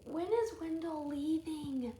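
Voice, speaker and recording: Woman doing a high, fed-up little girl mouse. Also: slow pace, echoey room, slight background noise. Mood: exasperated. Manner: hushed, plaintive.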